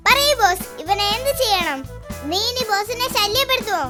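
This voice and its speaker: Children's cartoon role: demanding tomcat sidekick, extremely high squeaky childlike pitch, hungry and bossy, music underneath. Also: animated, moderate pace. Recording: music behind the voice.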